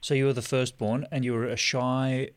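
A man's voice: medium pitch, level tone, moderate pace, clear, resonant tone. A man hosting an interview, asking leading questions. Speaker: host.

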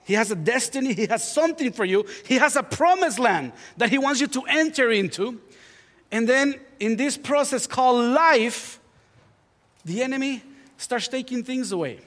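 A man's voice: extremely high pitch, animated, normal speed. A man in his forties from Honduras with a Honduran Spanish accent, preaching a sermon as pastor, joking about himself and building to fervent exhortation. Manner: warm self-deprecating humor, rising to fervent preaching. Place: church sanctuary. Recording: long hall reverb.

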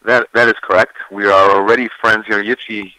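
A man, a singer, talking casually about his album in an interview, with a deep voice and plenty of fillers and restarts. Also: fairly quick, no echo, rough recording. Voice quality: deeper voice. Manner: relaxed, many fillers and restarts.

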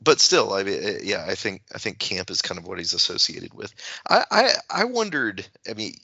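Deep, very bright gravelly man. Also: big pitch swings, no echo, highs cut off. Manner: halting, thoughtful. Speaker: podcast panelist. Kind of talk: casual analytical discussion.

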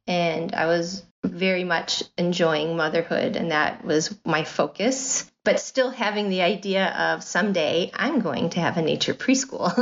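Lower-voiced Midwestern woman, lo-fi sound. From Illinois, US Midwest. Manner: chatty and warm, laughs often, uses "like" and "you know". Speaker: guest, nature preschool founder. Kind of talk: casual interview.

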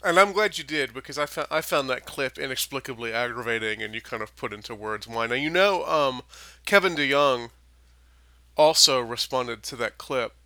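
Gravelly, bright-toned man with dry humor. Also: medium pitch, moderate pace, no echo. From Minnesota. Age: late 20s. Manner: wry, deadpan humor. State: slightly hoarse. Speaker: podcast co-host. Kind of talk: casual chat.

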